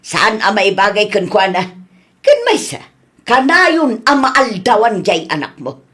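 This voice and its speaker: A woman playing a nagging, sharp-tongued middle-aged aunt in a scolding, argumentative radio comedy drama. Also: medium pitch, sing-song, a little slow. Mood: indignant, complaining.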